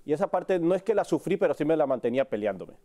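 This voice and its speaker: A man's voice: fairly high pitch, sing-song, quick pace, mellow. A man from Cuba with a Mexican accent, talking candidly as a guest in an interview. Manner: candid, speaks in short broken phrases. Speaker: sports reporter, interview guest. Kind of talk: casual interview conversation.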